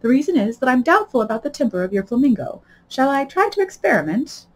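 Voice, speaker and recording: A woman's voice: high-pitched, sing-song, fast, pitched above the performer's own voice. A woman voicing a pompous older noblewoman in a storybook, gushingly agreeable, with emphatic, sententious pronouncements. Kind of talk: storybook character voice.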